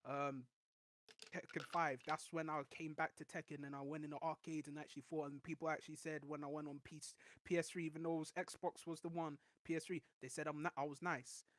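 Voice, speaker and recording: A man whose voice comes across high on tape talks as a podcast guest, rambling and animated with lots of restarts. The sound is dry, with no room around it. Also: brisk, no room sound. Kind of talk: casual chat.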